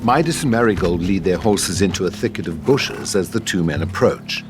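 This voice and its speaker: A man's voice: sits low, lively intonation, normal speed, over music. A man narrating a scripted drama, reading stage directions. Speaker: narrator of a script table read.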